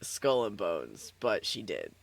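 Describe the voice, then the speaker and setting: A man's voice: low-pitched, huge pitch swings, takes it slowly, creaky. A man streaming, switching between casual, sweary banter and narrating a story aloud. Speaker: streamer reading fan fiction aloud.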